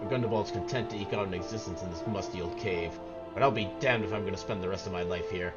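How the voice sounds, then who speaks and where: An angry young rebel acted out by a man from a fantasy game, defiant and vehement. The delivery is declamatory, with music clearly audible behind it. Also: deep voice, fast talker, dull audio, natural voice. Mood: fiery, ambitious.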